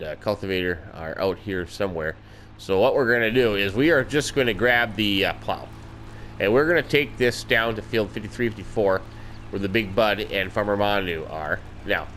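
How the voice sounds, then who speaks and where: A folksy man with a somewhat low voice chats through his farming game, tossing in mild homespun exclamations. He sounds a little stuffed up in the nose. A faint noise sits under the recording. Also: fairly bright tone. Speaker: let's play host. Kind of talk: casual gameplay commentary.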